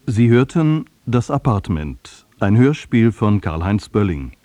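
A man's voice: on the low side, very animated, measured pace, warm tone, no room sound. A man reading scripted credits as a radio announcer.

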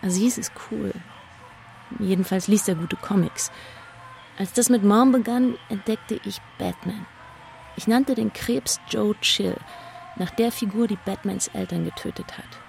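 A woman's voice: medium pitch, unhurried, gravelly, darkish tone. An actress playing a shy, lonely, grieving teenage schoolgirl in an introspective, hesitant inner monologue for a radio play. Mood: subdued. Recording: music under the voice.

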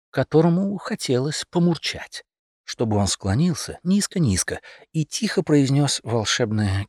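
A man narrating a satirical fairy tale as an expressive audiobook storyteller. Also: medium pitch, no room sound, studio quality.

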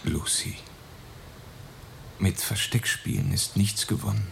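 An actor playing a tactful but firm doctor in a radio drama, measured and formal. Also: male voice, on the low side, gravelly, a little hiss or hum, full character voice. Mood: probing, concerned.

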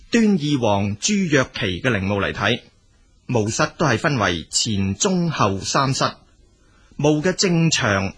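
A man with a Cantonese accent narrating a scripted folk tale as a storyteller, in a husky voice. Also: medium pitch, a bit bright.

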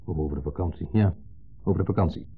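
An actor playing a wistful, brooding, middle-aged ex-husband in a radio play, speaking in wordy, hesitant, formally polite sentences with a pointed undertone. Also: male voice, sits low, quick pace, slightly rough. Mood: evasive. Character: wistful, brooding ex-husband, a long-winded dinner guest. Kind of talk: 1970s Dutch radio play acting. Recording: muffled, phone-like 1970s radio recording.

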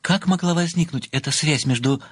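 An actor playing an obsequious, methodical amateur sleuth who speaks formally and deliberately, with flowery deference, in an audio play. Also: male voice, fairly high pitch, breathy edge, no echo, studio quality. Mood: methodical, earnest. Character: obsequious, methodical amateur male sleuth, adoring a famous writer.

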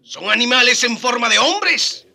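A man's voice: extremely high pitch, expressive, unhurried, vocal fry, sharp tone, heavily acted. A man playing a haughty, pompous Spanish scholar declaiming in a radio drama debate. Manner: pompous, declamatory, rhetorical questioning. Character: haughty Spanish scholar debating against equality of American Indians.

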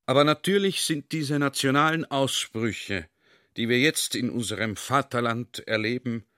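Radio drama general, male actor, earnest and explanatory, medium pitch, dry studio recording. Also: normal speed, pushed and bright, no room sound, natural voice. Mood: earnest explaining.

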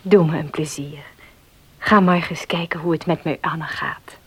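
An actress in a Dutch radio drama playing a compassionate, earnest woman, speaking softly in a husky, breathy voice. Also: deep voice, steady tone, normal speed, full character voice. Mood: hesitant, pleading.

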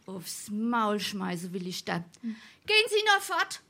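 Brusque rebuffing woman, forceful bright voice, slowish, Darmstadt dialect. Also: mid-range voice, sing-song, creaky, full character voice. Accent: Hessian, Darmstadt dialect. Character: brusque, rebuffing woman, the sponger's flirted-with Lisettchen.

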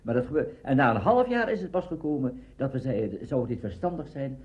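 An older man telling anecdotes as an interviewee, animated and conversational. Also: mid-range voice, fast. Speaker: interviewee, veteran radio actor. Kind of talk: anecdotal reminiscence. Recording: dull archive interview recording.

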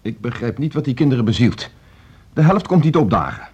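Husky, animated, indignant male conductor. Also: mid-range voice, a little fast. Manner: indignant, formal, complaining. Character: exasperated, strict male orchestra conductor. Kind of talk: naturalistic Dutch radio drama acting. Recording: dull radio drama recording.

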